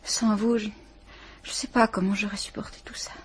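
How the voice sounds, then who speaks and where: An actress playing a distraught woman questioned by a police inspector, speaking haltingly and often near a whisper, in a vintage French radio drama. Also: medium pitch, little pitch movement, slight background noise, close to an everyday voice. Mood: anxious. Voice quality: breathy, trembling. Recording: old radio play recording.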